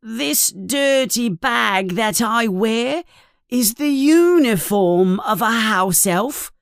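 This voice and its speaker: A woman voicing a small, timid, tearful male house elf who talks in a squeaky, pleading, servile way. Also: lively intonation, takes it slowly, clear, resonant tone, no room sound, clean studio sound.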